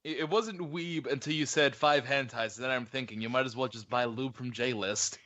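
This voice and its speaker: A man's voice: medium pitch, moderate pace, sharp tone, dull audio. A man in casual group podcast banter, teasing and often interjecting over a voice call.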